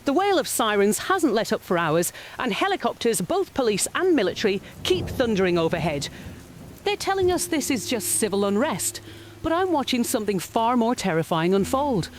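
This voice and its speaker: A woman's voice: a little high, big pitch swings, moderate pace, slight background noise. A woman playing an alarmed field correspondent, giving an urgent, dramatic radio report. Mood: tense, grave.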